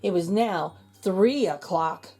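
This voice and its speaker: A woman reading a children's storybook aloud in an expressive, emphatic way. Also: on the low side, slow, slightly rough. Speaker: children's book reader. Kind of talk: storybook read-aloud narration.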